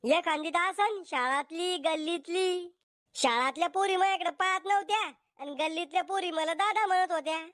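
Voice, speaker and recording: A man voicing a cheeky, dim-witted young bachelor in a cartoon comedy, drawling deadpan nonsense in a pitched-up voice. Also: moderate pace, dry recording, clean studio sound.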